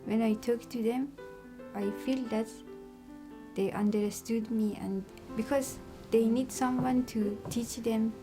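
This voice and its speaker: A woman's voice: a little high, steady tone, slow, music in the background. A young woman with an Afghan Persian accent telling her own story in an interview. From Iran, of Afghan family. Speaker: refugee student. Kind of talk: personal interview testimony.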